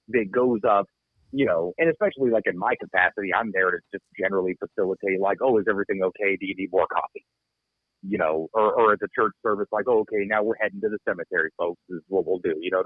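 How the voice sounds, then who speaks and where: A blunt man with a low voice, chatting on a podcast and cursing casually. His sound is thin and phone-like, very dry with no room around it. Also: husky, no echo. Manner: blunt, swears freely, drawn-out tellings. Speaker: podcast co-host. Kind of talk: casual chat.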